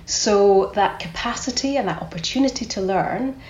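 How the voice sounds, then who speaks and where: Scottish female psychologist, measured explainer, echoey room with a dull, lo-fi sound. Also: mid-range voice, a little mellow. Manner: thoughtful, repeats words while explaining. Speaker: clinical psychologist guest. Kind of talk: explanatory podcast interview.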